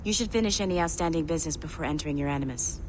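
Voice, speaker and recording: A woman's voice: low voice, very fast, heavy background noise, close to an everyday voice. A woman playing a serious, urgent colleague giving warnings in a video game.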